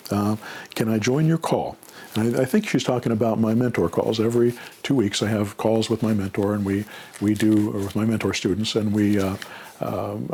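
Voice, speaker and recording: A man's voice: fairly low pitch, darkish tone, slight background noise. A man giving casual, unscripted advice as a mentor answering questions, in a plainspoken, conversational way.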